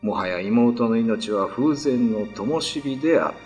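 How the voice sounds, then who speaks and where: A man's voice: mid-range voice, animated, slow pace. A man narrating a scripted horror tale in a dramatic storytelling style. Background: background music.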